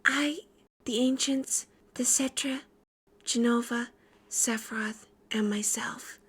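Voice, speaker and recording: A woman voicing a serious, skeptical and quietly mysterious young woman in measured video game dialogue. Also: fairly high pitch, fairly even intonation, breathy edge, full character voice. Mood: pensive.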